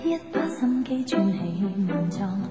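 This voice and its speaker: A woman's voice: medium pitch, sing-song, a little slow, reverberant, loud music behind the voice. A woman singing a Cantopop song with sustained melodic phrases.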